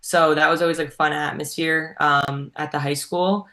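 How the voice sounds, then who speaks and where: A woman's voice: low voice, steady tone, moderate pace, a bit bright. A young woman from Long Island with a subtle Long Island accent, chatting as a college swimmer guest, with plenty of ums and likes. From Long Island, New York. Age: young adult. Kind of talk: casual interview answers.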